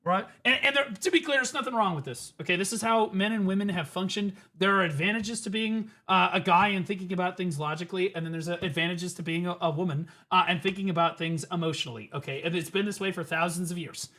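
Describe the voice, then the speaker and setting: A man's voice: sits high, quick pace, few pauses, sharp tone. A man streaming, reacting to clips with emphatic, profane, rambling commentary. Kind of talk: casual ranting commentary.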